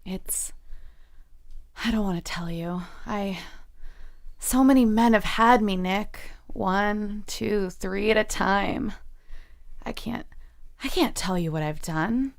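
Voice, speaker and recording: An actress playing a troubled, guarded young woman in an audio drama, hushed and hesitant. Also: mid-range voice, slow, vocal fry, pauses often. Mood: anguished, ashamed.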